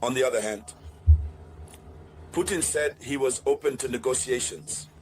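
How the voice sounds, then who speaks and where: A man presents political analysis as the host of an online news channel. He addresses his viewers directly in a mid-pitched voice. His delivery is deliberate, and he often restates key phrases to stress them. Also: slight background noise.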